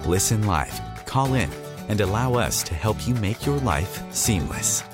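Deep-voiced announcer over guitar music. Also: male voice, animated, unhurried. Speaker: show announcer. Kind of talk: scripted promo intro over music.

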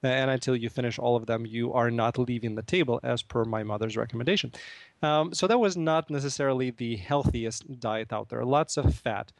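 A man's voice: medium pitch, brisk, no echo. A man from Russia hosting a live radio talk show from a studio, chatting playfully with many fillers and laughing at himself.

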